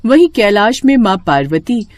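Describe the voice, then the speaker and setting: A woman's voice: mid-range voice, sing-song, moderate pace, no echo. A woman serves as storyteller for a children's mythological tale. She reads scripted narration in a mid-range voice, as for a devotional bedtime story.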